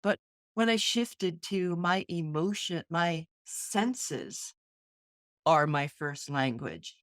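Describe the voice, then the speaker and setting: A woman with a very low voice speaks in an earnest, reflective way. She works through her thoughts slowly in a casual conversation. The recording is dry and close. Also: expressive, no echo. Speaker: podcast co-host.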